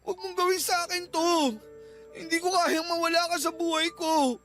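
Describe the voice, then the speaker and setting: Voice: very high-pitched, level tone, moderate pace, fairly bright tone, soft background music. An actor playing a jealous, wounded boyfriend in a radio drama, insistent and emotional as he confronts and pleads with a partner. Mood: tearful begging.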